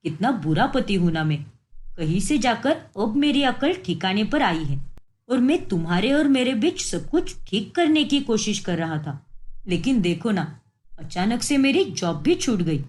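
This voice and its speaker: A woman's voice: mid-range voice, very animated, measured pace, darkish tone. A woman voicing a deceitful, self-pitying young husband in a cartoon moral story, with a dramatic, whiny delivery.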